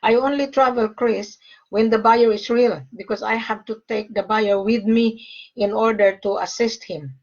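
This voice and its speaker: A woman's voice: on the high side, fairly even intonation, normal speed, muffled recording. Older woman from the Philippines on a call. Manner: emphatic, repeats key phrases. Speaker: gold sales facilitator. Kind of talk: casual call conversation.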